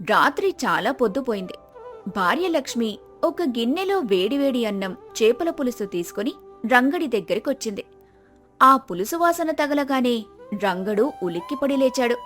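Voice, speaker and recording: High-voiced woman narrating a story. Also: fairly bright tone. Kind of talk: scripted moral-story narration.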